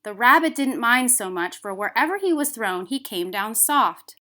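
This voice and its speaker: A woman's voice: fairly high pitch, normal speed. Woman teacher reading a storybook expressively. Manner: expressive, emphasises key words. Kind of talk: expressive children's storybook narration.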